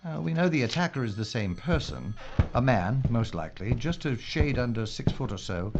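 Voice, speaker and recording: Casting: male actor as wry, formal police inspector for radio play; lively intonation, muffled noisy recording. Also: medium pitch, music in the background, natural voice. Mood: grave briefing. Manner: measured, formal, clinical. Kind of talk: audio drama acting.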